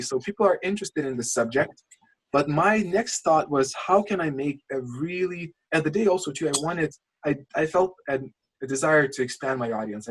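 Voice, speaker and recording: Husky Lithuanian man coaching on a call. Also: mid-range voice, low-quality recording. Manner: rambling, restarts, passionate asides. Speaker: channel coach. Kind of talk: casual screen-share coaching call.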